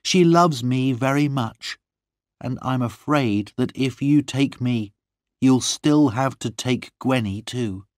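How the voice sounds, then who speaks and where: A man's voice: medium pitch, animated, takes it slowly, dry recording, studio quality, pitched above the performer's own voice. A man voicing a loving, earnest young noblewoman in an emotional, pleading manner, as in an audiobook story. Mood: tender, pleading.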